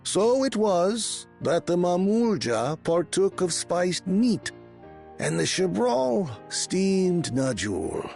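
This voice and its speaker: Slow, gravelly, theatrical storyteller. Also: male voice, high-pitched, big pitch swings, a little mellow, soft background music, full character voice. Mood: storytelling. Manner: formal, measured, theatrical delivery. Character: solemn male storyteller recounting a legendary banquet. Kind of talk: scripted narration in a fantasy video game.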